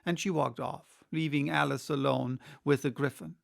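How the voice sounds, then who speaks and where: A man's voice: mid-range voice, fairly even intonation, moderate pace, vocal fry. A man reading a classic children's story aloud as scripted audiobook narration. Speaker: audiobook reader.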